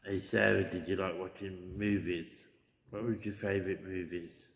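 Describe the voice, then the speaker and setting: Very low English man, gentle and chatty ghost hunter, roomy church echo. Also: flat delivery, moderate pace, vocal fry. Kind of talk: casual talk to spirits. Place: old church interior. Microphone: handheld phone camera. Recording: echoey church with long reverb.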